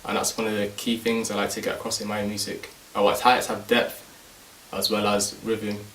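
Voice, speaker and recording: A man from Manchester, a musician, speaking calmly and earnestly in an interview. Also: fairly low pitch, fairly quick, a little hiss or hum. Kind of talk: interview talk. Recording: echoey room, distant mic.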